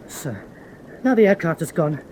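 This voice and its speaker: An actor playing an anxious, dutiful young U-boat lieutenant in a radio drama, earnest and respectful. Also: male voice, fairly high pitch, sing-song, moderate pace, a little mellow, a little hiss or hum, rough recording. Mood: uneasy, dutiful. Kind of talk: vintage-style radio drama acting.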